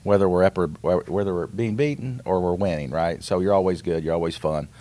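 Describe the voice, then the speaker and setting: Male podcast co-host. Deep, quick, conversational, dry sound. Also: mellow, no echo. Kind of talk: casual chat.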